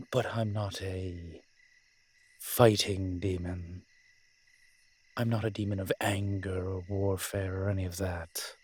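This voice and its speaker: A man acting as a wry, flirtatious incubus demon in a roleplay audio drama, teasing and sardonic, with a husky, breathy voice. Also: low voice, a little mellow, exaggerated voice. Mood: nervous, candid.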